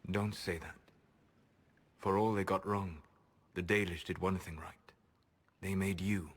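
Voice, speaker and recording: Fantasy game elven mage: grave yet gentle, low register, breathy husk, measured formal delivery, solemn. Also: male voice, steady tone. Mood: gentle, regretful. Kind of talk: dramatic video game acting.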